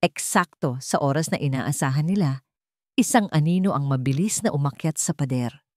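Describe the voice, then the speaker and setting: A Filipino woman narrates a dramatic story in a scripted storytelling style. Her voice sits on the low side, and the recording is dry and close, with little room sound. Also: moderate pace, darkish tone, no echo.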